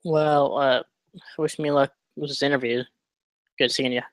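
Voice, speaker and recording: Male actor as remorseful man fresh from prison, medium pitch, awkward, halting, apologetic, dry film table read. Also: flat delivery, smooth, no room sound, close to an everyday voice. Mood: awkward, tentative.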